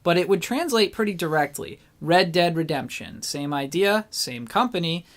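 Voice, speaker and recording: Co-host for a film and games chat show: mid-pitched, informal, opinionated. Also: expressive, moderate pace. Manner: informal, opinionated, mildly swearing. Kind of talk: casual opinionated discussion of films and games.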